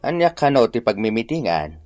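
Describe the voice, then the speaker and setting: A man's voice: medium pitch, sing-song, fairly quick, darkish tone, dry recording, close to an everyday voice. A man playing an eager, folksy village uncle with an Ilocano accent in a comedy radio drama.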